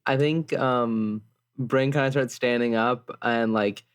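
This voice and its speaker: A tabletop roleplay player casually narrating a character, halting and emphatic, often saying "like". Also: mid-range voice, steady tone, clean tone.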